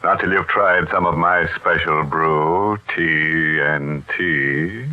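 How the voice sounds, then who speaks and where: A man's voice: extremely low pitch, slow. A man playing a macabre, teasing, pun-loving horror host in the style of 1940s radio. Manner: mock-sinister, teasing. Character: ghoulish male horror host, macabre and gleefully punning. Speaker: horror show host and narrator. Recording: old muffled radio broadcast, music behind.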